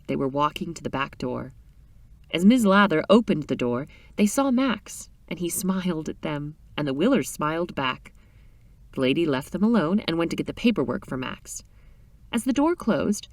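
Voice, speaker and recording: A woman reading a short story aloud in an expressive, dramatic storytelling style. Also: deep voice, moderate pace, no room sound, distant mic. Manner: animated, dramatic reading with character voices.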